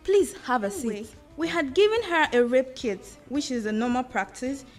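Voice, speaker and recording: A woman's voice: sits high, animated, normal speed, faint music behind. A woman playing a commanding but compassionate madam in a radio drama, speaking emphatically with a Nigerian accent. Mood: firm. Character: commanding, compassionate well-off madam, quick to take charge.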